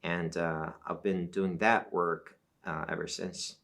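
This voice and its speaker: A middle-aged man from Iran, whose first language is Azeri Turkish, speaking as a professor guest in an academic interview, measured and explanatory with frequent fillers. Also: deep voice, little pitch movement, creaky, fairly bright tone.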